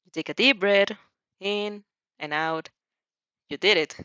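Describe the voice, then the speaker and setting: A woman reading a story aloud in an expressive, amused way, sometimes stumbling and rereading phrases. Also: mid-range voice, a little slow, clean tone, dry recording, highs cut off. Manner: giggly, stumbles over phrases and rereads them. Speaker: fan fiction reader reacting as she reads.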